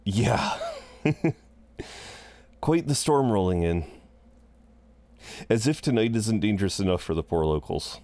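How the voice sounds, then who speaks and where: A man's voice: lowish voice, animated, slow pace, long pauses, darkish tone, slight background noise. A man playing a flirtatious, chatty incubus innkeeper in a roleplay audio drama, welcoming and rambling with hesitant asides. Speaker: innkeeper at a front desk.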